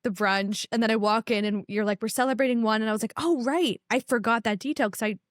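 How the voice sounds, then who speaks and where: A woman's voice: on the high side, quick pace, dry recording, studio quality. Gushing woman podcast host. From Canada. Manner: gushing, enthusiastic, chatty. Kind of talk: casual podcast interview.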